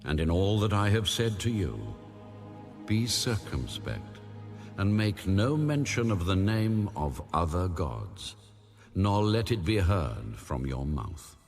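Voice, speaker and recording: A man reading scripture as a scripted audio Bible narration in a measured, solemn manner. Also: low-pitched, big pitch swings, a little mellow, faint music behind.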